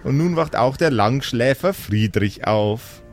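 Male game master, lively intonation, playful and teasing, improvised fantasy storytelling over soft music. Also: medium pitch, measured pace. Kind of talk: improvised storytelling for a tabletop role-playing podcast.

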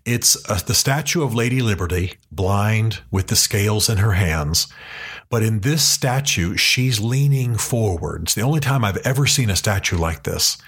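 A man hosting a podcast from his office, talking earnestly and with passion, slowing down to stress his key points. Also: lowish voice, animated, fairly bright tone, husky. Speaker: podcast host.

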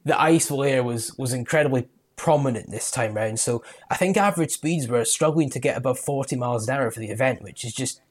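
Young man from scotland, mid-pitched voice, thoughtful, laughs a little while talking. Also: brisk, hardly any pauses. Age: young adult. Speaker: podcast co-host. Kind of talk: casual podcast chat.

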